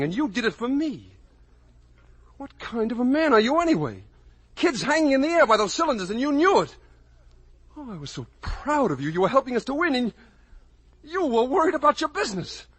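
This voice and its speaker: A man's voice: very high-pitched, sharp tone, slightly rough, exaggerated voice. An actor playing an anguished, idealistic young man in a 1950s radio drama, intense and morally outraged at his father. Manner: intense, emotional, accusing. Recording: noisy vintage 1950 radio broadcast.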